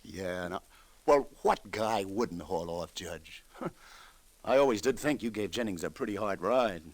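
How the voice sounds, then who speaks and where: World-weary New radio detective. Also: male voice, fairly low pitch, lively intonation, no echo. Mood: thoughtful, probing. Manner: colloquial, halting, wry. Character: streetwise, world-weary New York police detective, hesitant yet humane. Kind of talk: old-time radio noir acting and narration. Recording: dry vintage radio drama recording.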